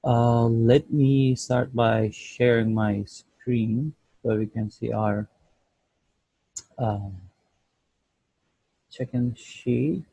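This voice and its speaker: A man presents a team update over a video call. His voice is mellow and gravelly, with a slow, halting delivery and a dry, close sound. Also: medium pitch, fairly even intonation, no echo, highs cut off. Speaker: student developer presenting a team project check-in. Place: indoors at home, on a video call.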